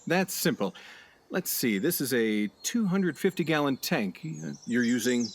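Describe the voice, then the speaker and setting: A man's voice: mid-range voice, lively intonation, measured pace. An actor playing an overconfident drifter who talks his way into things in a community radio play. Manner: breezy, self-assured, salesman-like. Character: brash overconfident male drifter, smooth talker.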